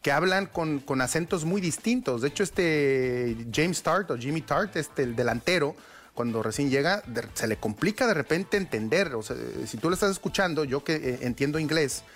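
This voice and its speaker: A man's voice: on the high side, expressive, normal speed. A man with a Mexican accent hosting a casual sports chat, explaining things as he goes. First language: Spanish. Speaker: host presenting sports news segments.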